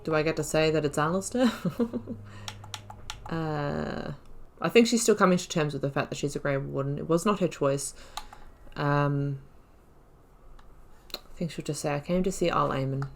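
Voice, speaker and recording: A woman's voice: deep voice, moderate pace, pauses often, a little hiss or hum. A woman giving casual let's play commentary, chattily thinking aloud and reading dialogue choices. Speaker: gaming video host.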